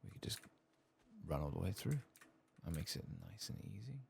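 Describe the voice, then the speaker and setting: Really deep crackly guy doing asmr gaming, soft spoken, bit of keyboard noise behind. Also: sing-song, normal speed, vocal fry. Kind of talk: soft-spoken ASMR game commentary, partly whispered. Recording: faint keyboard and clicking noise.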